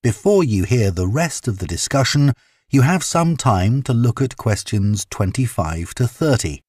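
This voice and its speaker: A man's voice: mid-range voice, sing-song, measured pace, darkish tone, husky, no room sound. A man announcing listening-test instructions in a formal, evenly paced way. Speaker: exam announcer. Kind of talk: scripted exam instructions. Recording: dry studio recording.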